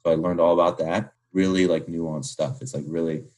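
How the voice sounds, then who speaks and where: A man's voice: sits low, fairly even intonation, a little fast, darkish tone. A man in his twenties talking casually and candidly as an interview guest, with informal, slangy phrasing full of "like". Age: 20s. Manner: informal slangy speech peppered with "like". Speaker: podcast guest, young entrepreneur.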